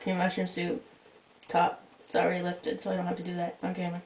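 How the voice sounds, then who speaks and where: A woman's voice: on the low side, monotone, fast. Young woman hosting a kitchen cooking show. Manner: wry, self-mocking, offhand asides. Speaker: home cooking show host. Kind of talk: casual jokey cooking demo. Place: small home kitchen at night. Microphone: fixed camera mic across the kitchen. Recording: echoey, tinny, noisy home camcorder audio.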